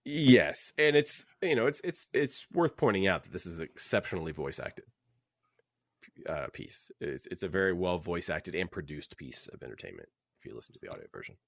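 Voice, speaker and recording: A man's voice: sits low, big pitch swings, normal speed, creaky, dry recording, clean studio sound. A man co-hosting a casual book discussion podcast, rambling and restarting his sentences as he thinks aloud. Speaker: podcast host.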